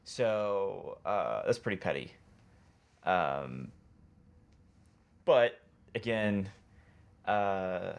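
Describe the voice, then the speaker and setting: A man with a fairly low voice talks casually as a podcast co-host. He explains video games in a loose, hesitant way, feeling his way through the details. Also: animated, very slow, creaky, long pauses, clean tone.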